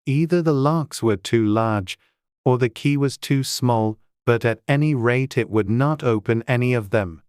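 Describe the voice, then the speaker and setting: An audiobook narrator reading a children's story in a measured, deliberate storytelling way. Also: mid-range voice, darkish tone, clean tone, no room sound, studio quality.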